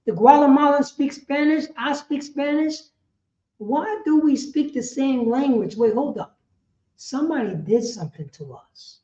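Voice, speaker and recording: A woman's voice: high-pitched, lively intonation, measured pace, warm tone. Female religious teacher, impassioned and insistent, recorded in a roomy echo with muffled fidelity. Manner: emphatic, repetitive, rhetorical questioning. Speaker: class teacher, preacher. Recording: echoey room, dull lo-fi sound.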